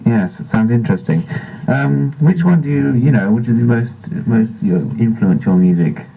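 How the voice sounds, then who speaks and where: A teenage boy politely interviewing someone in a formal, halting way, heard from an old seventies tape. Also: mid-range voice, creaky, slightly rough. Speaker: amateur interviewer. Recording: dull 1970s reel tape played back.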